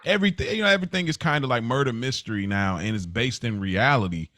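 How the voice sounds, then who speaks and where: A man speaking on a podcast in relaxed, informal conversation. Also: medium pitch, quick pace, no echo, studio quality. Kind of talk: casual chat.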